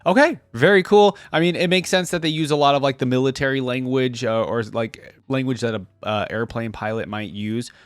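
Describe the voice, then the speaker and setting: A man hosting a casual reaction video, reading aloud and commenting conversationally as he thinks out loud. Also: medium pitch, fairly quick, few pauses, smooth, no room sound, studio quality. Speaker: YouTube reaction host.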